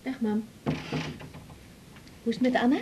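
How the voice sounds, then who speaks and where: A woman's voice: on the high side, steady tone, moderate pace, noisy background, close to an everyday voice. A Dutch actress playing an anxious, affectionate young woman doctor in a naturalistic radio drama, hesitant and searching for words. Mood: tired, concerned. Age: young adult.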